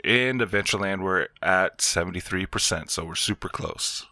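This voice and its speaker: A man's voice: sits low, moderate pace, pushed and bright. A man hosting a video game walkthrough, explaining each step in an instructional way. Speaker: gameplay video host.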